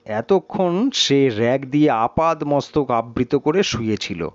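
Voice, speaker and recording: A man's voice: mid-range voice, lively intonation, measured pace, dry recording. A man reading a story aloud as a dramatic audiobook narrator. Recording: slightly dull, muffled sound.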